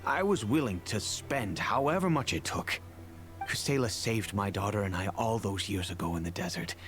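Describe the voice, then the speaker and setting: A dutiful, fatherly merchant of middle age speaks in a lowish voice for a video game. He is formal and careful, weighed down by regret, with soft music underneath. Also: lively intonation. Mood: earnest, fatherly. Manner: measured, formal, hesitant. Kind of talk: English video game voice acting. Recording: music behind the voice.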